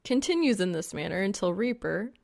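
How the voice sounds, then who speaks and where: A woman reading fan fiction as an audiobook narrator, in an expressive, scripted storytelling style. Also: mid-range voice, brisk, gravelly.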